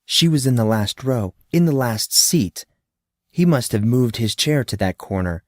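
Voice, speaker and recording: Male storyteller for scripted fiction: medium pitch, expressive dramatic narration, dry studio-like sound with no room echo. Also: unhurried.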